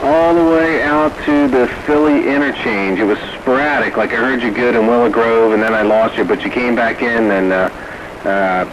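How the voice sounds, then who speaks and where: A man's voice: medium pitch, steady tone, normal speed. Male radio caller, mid-range voice, informal, noisy CB transmission. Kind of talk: casual radio chat.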